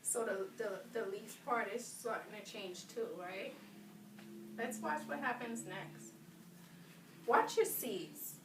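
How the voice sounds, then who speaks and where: A woman teacher reading aloud to children, pausing to question them and affirm their answers. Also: fairly high pitch. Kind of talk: interactive read-aloud lesson with questions to children. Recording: roomy, distant mic, clear background noise.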